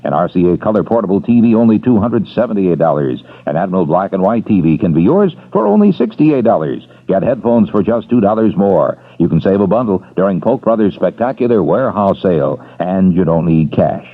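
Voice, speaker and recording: A man's voice: low voice, lively intonation, fairly quick, few pauses. A man reading a hard-sell radio commercial in an upbeat, punchy salesman's delivery. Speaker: radio ad announcer. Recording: tinny old radio broadcast.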